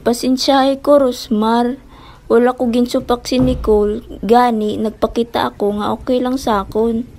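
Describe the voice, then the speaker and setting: A woman's voice: fairly high pitch, little pitch movement, moderate pace, a little mellow, close to an everyday voice. A woman with a Filipino accent acting a meek schoolgirl classmate in a student radio drama. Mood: hesitant, sorry. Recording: faint crowd and background noise.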